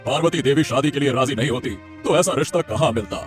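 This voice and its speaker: A poor, resigned middle-aged father acted by a man in a mid-pitched voice. He pleads and soothes submissively, cartoon moral-story style with music behind. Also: fast talker, natural voice. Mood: resigned, pleading. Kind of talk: Hindi cartoon story dialogue.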